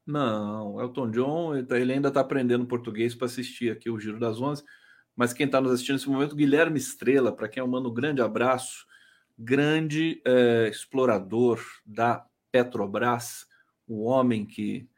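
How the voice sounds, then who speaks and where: Male live-show host, Brazilian accent, average pitch. Informal, playful, teasing, slightly halting when putting questions. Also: steady tone. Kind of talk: live chat show hosting, casual.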